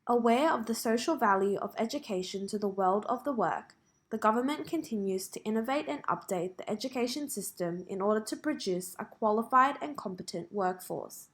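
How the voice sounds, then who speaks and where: Woman, Australian accent, medium pitch, scripted read-aloud, careful delivery, practice-passage reader. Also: lively intonation, a little fast, a bit bright.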